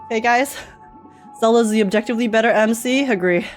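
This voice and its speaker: A woman streamer giving casual live game reactions in an excitable, giggly, emotional manner. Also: on the high side, fairly quick, faint music behind.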